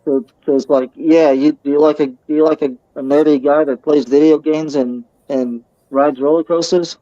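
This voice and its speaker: Earnest halting man, dark muffled voice. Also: a little high, fairly even intonation, dry recording. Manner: halting, restarts words, plain and earnest. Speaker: guest talking about himself. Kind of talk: casual group chat.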